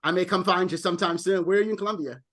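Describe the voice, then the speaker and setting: Male meeting host on a video call, high pitch, friendly, stumbles into repeats. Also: fairly even intonation, very fast, fairly bright tone. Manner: friendly, repeats words when thinking. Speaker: club president, meeting host. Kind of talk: casual online meeting chat.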